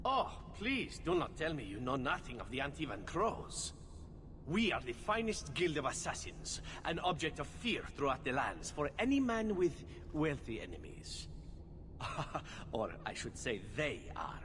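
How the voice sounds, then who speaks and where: A man's voice: fairly high pitch, sing-song, measured pace. A man playing a suave, flirtatious elf assassin in a video game, with a playful, theatrical delivery. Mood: boastful, wry. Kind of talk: video game character acting. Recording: noisy ambience, long reverb.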